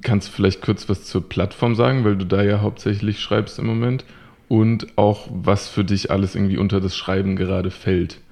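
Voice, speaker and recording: A man with a deep, gravelly voice hosts a conversational podcast. His tone is dark and mellow, and he strings his questions together in long, easygoing runs. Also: fairly even intonation, moderate pace. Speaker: podcast host.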